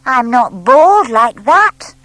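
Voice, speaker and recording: Woman doing a young male owl, childlike, proud, blunt, lively intonation, children's storybook. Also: fairly high pitch, slow pace, dry recording, voice pushed up, exaggerated voice. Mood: indignant. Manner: childlike, hesitant when flustered, blunt. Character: small eager young male barn owl, proud, indignant. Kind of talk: children's audiobook character voice.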